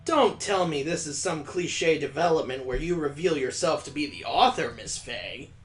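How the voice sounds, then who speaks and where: A man's voice: a little high, normal speed. A man hosting a let's play, reading a visual novel aloud with playful, joking asides.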